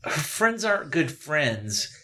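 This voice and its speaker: A man's voice: on the high side, sing-song, takes it slowly, pushed and bright, poor audio. A man co-hosting a casual book discussion, conversational and ready with his own opinions. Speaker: podcast host.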